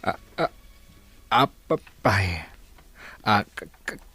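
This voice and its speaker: A man's voice: lowish voice, big pitch swings, drawn-out words, sharp tone, husky, dry recording, faint music behind, full character voice. An actor playing an ailing, weary father in a radio drama, stammering through broken words with an Ilocano accent. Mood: weary, tender.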